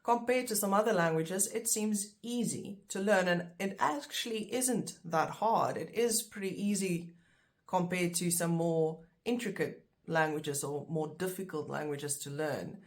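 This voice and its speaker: A woman's voice: on the low side, measured pace. A woman, an Afrikaans speaker, teaching a casual online lesson and explaining step by step with chatty fillers. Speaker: language teacher.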